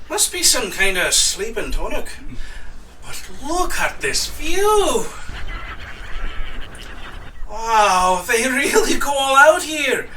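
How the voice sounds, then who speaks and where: Woman as a bright, breezy adventurer. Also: on the high side, very animated, slow, pauses often, distant mic, a little hiss or hum, full character voice, poor audio. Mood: amazed. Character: breezy, easily amazed cruise contestant. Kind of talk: audio drama acting.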